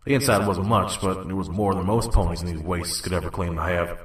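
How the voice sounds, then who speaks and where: A man voicing a wary, gruff stallion trader who narrates a wasteland audio drama tersely. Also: sits low, a little fast, reverberant, far from the mic, close to an everyday voice. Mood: brooding. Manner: terse, guarded. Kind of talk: first-person story narration and dialogue.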